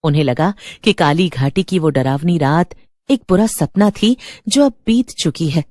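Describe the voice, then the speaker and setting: A woman's voice: sits low, lively intonation, unhurried, darkish tone, no room sound, studio quality. A woman narrator reading a scripted horror story in a dramatic storytelling style.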